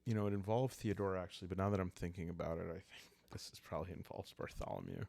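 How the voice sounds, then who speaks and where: A man calmly narrating a solo role-playing game in a hushed, relaxing way, musing aloud as he goes. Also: low voice, quick pace, vocal fry, no room sound.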